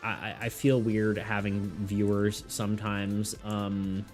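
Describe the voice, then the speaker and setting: Low-voiced man rambling on stream. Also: flat delivery, slow, darkish tone. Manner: rambling, restarting sentences, laughing often. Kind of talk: casual live-stream chat with viewers. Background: faint background music.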